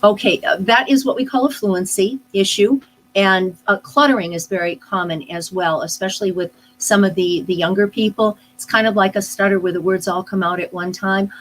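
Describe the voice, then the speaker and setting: Woman pausing to think between phrases. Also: mid-range voice, steady tone, a little hiss or hum, poor audio. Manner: thoughtful, with frequent "uh" pauses and restarts. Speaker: speech-language pathologist guest. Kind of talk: live online interview, explaining casually.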